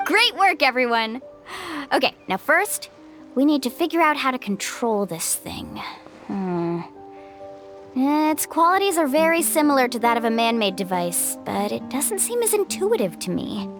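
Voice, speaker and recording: A woman's voice: sits high, sing-song, normal speed, fairly bright tone, full character voice. An actress playing a friendly, encouraging young woman guide who leads the group in a video game. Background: game music.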